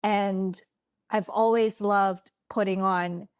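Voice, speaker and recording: A woman whose first language is Tagalog, with a mid-range voice and a dry, close sound. She chats casually in an interview, playful and quick to laugh. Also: medium pitch, fairly even intonation, smooth, no echo. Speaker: actor guest.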